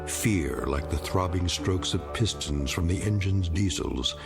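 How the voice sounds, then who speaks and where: A man narrating an eerie radio drama in a grave, measured, portentous manner. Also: low-pitched, animated, gravelly, full character voice. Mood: ominous. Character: grave, ominous male narrator of eerie tales. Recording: music bed under the voice.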